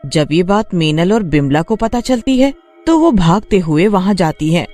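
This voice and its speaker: A woman narrates a scripted story. Her intonation is lively and varied, moving up and down freely. Faint music plays behind the voice. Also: lowish voice, normal speed.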